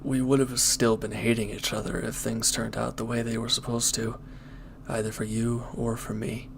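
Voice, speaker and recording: A man playing a former villain turned hesitant, conflicted lover, speaking earnestly and haltingly in an intimate audio roleplay. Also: mid-range voice, level tone, vocal fry, noisy background, natural voice. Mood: regretful, earnest.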